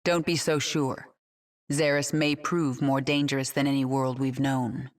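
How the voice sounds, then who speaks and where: A woman's voice: rumbling low, little pitch movement, measured pace. An actress playing a vengeful, defiant queen of an alien swarm in a video game, commanding, sardonic and resolute. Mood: watchful. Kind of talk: video game cinematic acting. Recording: music clearly behind the voice.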